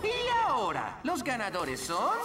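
A woman's voice: a little high, wildly swooping pitch, measured pace, over loud music, a loud crowd. A woman voicing a tiny berry creature who hosts a cartoon talent show in a showy, jokey manner. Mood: ceremonious. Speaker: master of ceremonies. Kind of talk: animated cartoon stage announcing. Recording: roomy, distant, very noisy stage sound.

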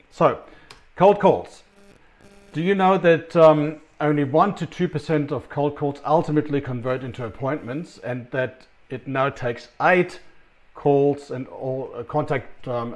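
A middle-aged man with an Australian accent presenting a recorded conference talk from his office, chatty and full of ums and restarts. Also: medium pitch. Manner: conversational, many ums and restarts. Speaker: sales consultant presenter.

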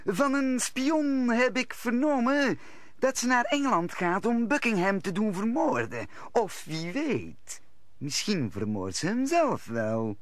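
An excitable, plotting musketeer in a vintage radio play. His voice is high and hugely animated, with a dry, close sound. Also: slow pace, no room sound, heavily acted. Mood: conspiratorial. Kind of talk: old Dutch radio play acting.

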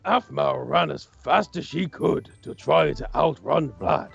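Man playing a creaky, excitable young storyteller. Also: a little high, measured pace, highs cut off, faint music behind, full character voice. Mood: gleeful storytelling. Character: excitable young man gleefully reading silly fan fiction aloud. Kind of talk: hammy storytelling in Minecraft roleplay.

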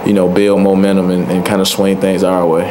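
A young man answering postgame questions at a table microphone, soft-spoken and laid-back, with many fillers. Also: low voice, monotone, brisk. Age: young adult. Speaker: college football player. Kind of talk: postgame press conference answers. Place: press conference room. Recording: noisy press room audio.

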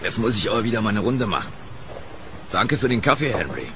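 A man acting as a weary policeman on night patrol in a horror radio play. His voice is fairly low and lively in its rise and fall, grumbling yet friendly, over clearly noisy rain. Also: brisk. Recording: noisy rain ambience behind voice.

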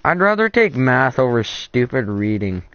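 A deep-voiced teenage boy chatting casually with a friend while playing a game, laid-back and swearing a lot. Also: very animated, moderate pace, no room sound, highs cut off. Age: teen. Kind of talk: casual gaming chat.

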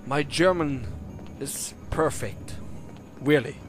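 A man's voice: fairly high pitch, slow pace. A man giving casual, jokey commentary while playing a video game, talking to the characters on screen. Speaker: let's play commentator. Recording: game music behind the voice. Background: game music.

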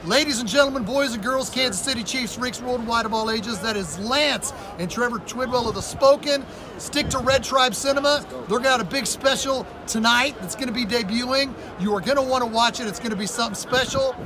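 A man's voice: extremely high pitch, a little fast. Hyped bearded man hosting in a stadium. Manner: hyped announcer-style delivery. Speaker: host. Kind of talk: postgame fan interview. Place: outdoor football stadium at night. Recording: loud outdoor stadium noise.

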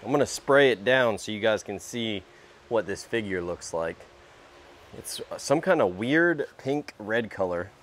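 A young man hosting a casual workshop vlog, talking in a relaxed way as he works at a sawmill under an open-sided shed. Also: fairly low pitch, unhurried, slight background noise. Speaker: sawmill channel host.

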